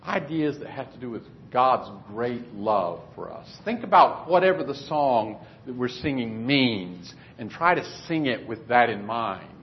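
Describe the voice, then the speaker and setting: Guy preaching to his church, easygoing plain talk, kinda muffled low-fi sound. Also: animated, unhurried, hardly any pauses, a little hiss or hum. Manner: conversational, plainspoken. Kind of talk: sermon. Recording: dull lo-fi recording.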